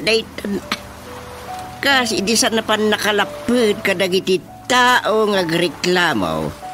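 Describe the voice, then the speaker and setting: A man's voice: high voice, fairly bright tone. An actor playing a worried, complaining older father with an Ilocano Filipino accent, sighing and grumbling in a radio drama comedy. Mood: weary complaining. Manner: sighing, grumbling, full of interjections.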